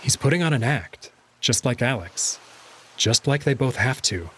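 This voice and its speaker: A man narrating and commenting on a visual novel in an expressive storytelling style. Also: mid-range voice, normal speed, breathy edge. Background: music under the voice.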